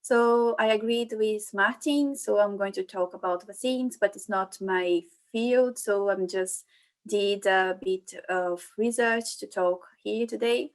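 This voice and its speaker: Young brazilian woman giving a science talk on a video call, lots of ums, restarts, mid pitch. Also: slow pace. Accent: Brazilian Portuguese. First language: Portuguese. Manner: hesitant, many fillers and restarts. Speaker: guest speaker, PhD student. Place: home room, online event. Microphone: computer mic on video call.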